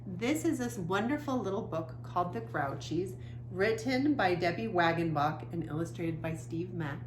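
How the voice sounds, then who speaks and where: A woman hosting a storytime, reading a picture book aloud to children in an expressive storytelling style. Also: fairly low pitch, moderate pace. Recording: echoey room, faint background noise.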